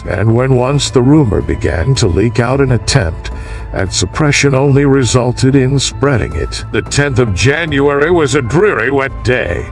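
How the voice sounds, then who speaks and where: A man with a mid-pitched voice narrates an audiobook of ancient history. His delivery is measured and formal, with the weight of oratory in the phrasing. Music plays behind his reading. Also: creaky. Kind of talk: scripted narration of a classical history.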